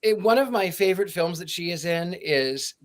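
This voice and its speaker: Animated man telling a story. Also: high-pitched, normal speed. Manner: animated anecdote teller, acts out quotes, stumbles for words. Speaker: podcast panelist. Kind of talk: casual chat, storytelling.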